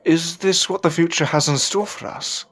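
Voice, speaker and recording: An actor playing an earnest Victorian gentleman warlock in an audio drama, formal and questioning. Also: fairly high pitch, fairly even intonation, normal speed, slight background noise, natural voice. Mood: puzzled, polite.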